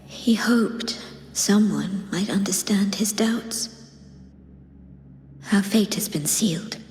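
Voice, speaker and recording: A woman plays a dignified poet awaiting her fate in a fantasy game. She is solemn and unhurried, with a medium-pitched voice. Reverb rings around her words, with faint music beneath. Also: a little mellow, lightly acted. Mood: resigned. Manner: solemn, composed. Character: resigned, dignified condemned female poet. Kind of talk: video game voice acting.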